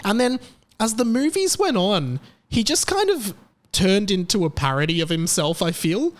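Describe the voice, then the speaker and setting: A man's voice: high-pitched, animated, normal speed. A young Australian man hosting a podcast, giving his opinions in an emphatic, casual monologue. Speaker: podcast host.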